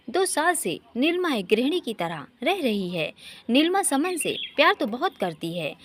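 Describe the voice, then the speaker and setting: High-voiced woman narrating an emotional story. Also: a little hiss or hum. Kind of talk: scripted narration of an emotional Hindi love story.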